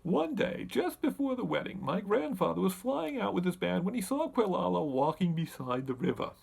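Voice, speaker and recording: A man voicing a talkative winged monkey king who recounts his tribe's history in an animated, deliberate storybook manner. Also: sits high, vocal fry, slightly rough, heavily acted. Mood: storytelling. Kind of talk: storybook character narration.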